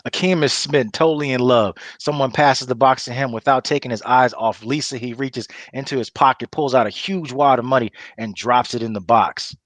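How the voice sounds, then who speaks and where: A man with a mid-pitched voice narrates scene directions in a dry recording. His delivery is informal, like someone casually telling a story. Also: brisk, few pauses, a bit bright, no echo.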